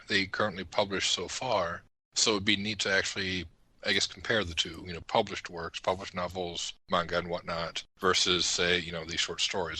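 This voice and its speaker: A man's voice: sits low, sharp tone, rough recording. A man on a podcast panel talking casually and giving candid opinions.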